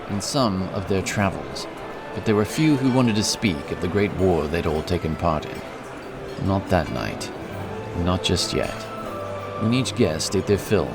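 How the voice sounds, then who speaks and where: A man narrating a scripted fantasy story. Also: sits low. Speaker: audiobook narrator. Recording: music behind the voice. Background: music.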